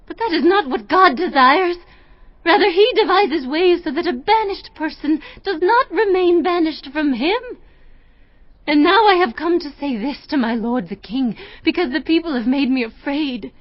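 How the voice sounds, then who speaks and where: A woman's voice: sits high, no room sound, lo-fi sound. An actress playing an anguished, humble widow pleading formally with a king in a dramatized audio Bible. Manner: formal, beseeching, emotional.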